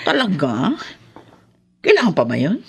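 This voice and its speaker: A woman's voice: sits low, wildly swooping pitch, unhurried, vocal fry, darkish tone, slightly rough, full character voice. Possessive, dramatic Filipino mother, swooping voice. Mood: cold, disapproving. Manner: pointed, dramatic, reproachful. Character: possessive, guilt-tripping older mother, cold to son's partner. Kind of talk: Filipino radio drama acting.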